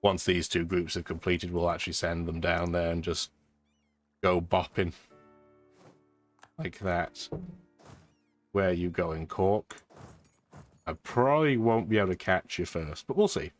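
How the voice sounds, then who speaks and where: Deep-voiced congested man gaming. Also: measured pace, pauses often. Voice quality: congested, stuffy nose. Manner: dry, chatty, talks to the game units. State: lingering sore throat, blocked sinuses. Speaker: gaming video host. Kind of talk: casual strategy game commentary. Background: background music.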